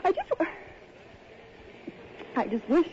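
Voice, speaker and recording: An actress in a radio drama playing a polite, troubled city woman who speaks haltingly, on a dull, hissy recording. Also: a little mellow, close to an everyday voice. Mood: distressed. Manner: hesitant, halting. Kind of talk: radio drama acting, naturalistic.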